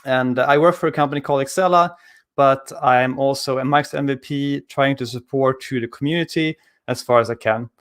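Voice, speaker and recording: Man giving a casual tech talk. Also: medium pitch. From Sweden. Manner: relaxed, conversational, frequent filler sounds. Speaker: conference speaker. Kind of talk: technical talk over a video call. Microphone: studio mic on arm, video call.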